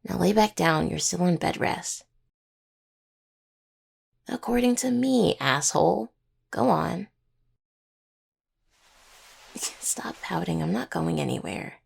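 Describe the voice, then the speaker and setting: A young woman playing a caring, teasing necromancer healer in an intimate audio roleplay, with a husky voice and conversational delivery. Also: on the low side, exaggerated voice. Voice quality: husky, gravelly. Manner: hesitant, teasing, full of pet names.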